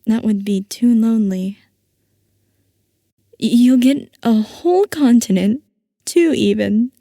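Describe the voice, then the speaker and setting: A woman playing a playful, possessive scientist boss who dotes on her assistant, in a soft, coaxing ASMR roleplay. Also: on the high side, takes it slowly, creaky, dry recording, clean studio sound. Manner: soft, coaxing, affectionate villainy.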